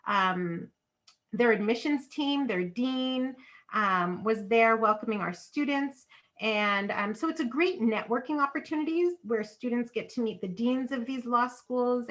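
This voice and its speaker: A woman presenting a program at an online information session, often pausing with "um" as she explains. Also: on the high side, sharp tone. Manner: frequent ums, friendly explaining.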